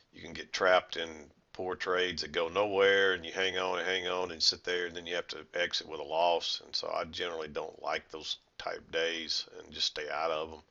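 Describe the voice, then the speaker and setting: A middle-aged man with a hoarse, congested voice from a head cold, explaining chart trades in a rambling, self-correcting way. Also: deep voice, sharp tone, muffled recording. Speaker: trading educator. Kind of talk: screen-share trading recap.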